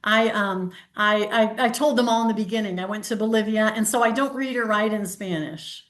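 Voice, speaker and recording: A woman's voice: medium pitch, fairly quick. A woman hosting a casual group discussion over a video call, chatting and reading bits of a picture book aloud. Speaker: workshop host. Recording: roomy echo.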